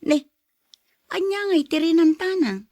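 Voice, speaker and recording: A woman's voice: extremely high pitch, animated, a little slow, mellow, dry recording, studio quality. A woman playing a hospitable, homely wife in an Ilocano radio drama. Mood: curious. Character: hospitable, homely wife chatting with her husband.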